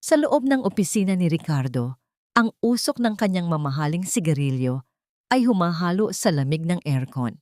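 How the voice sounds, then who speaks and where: A woman's voice: fairly low pitch, big pitch swings, normal speed, a little mellow, no room sound, clean studio sound. A woman reading dramatic scripted narration for an audio drama story.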